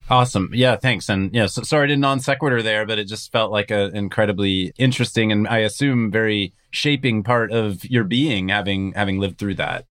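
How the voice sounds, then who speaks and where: A man's voice: fairly low pitch, normal speed, hardly any pauses, fairly bright tone. A man hosting an interview podcast, asking curious, reflective questions. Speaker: podcast host.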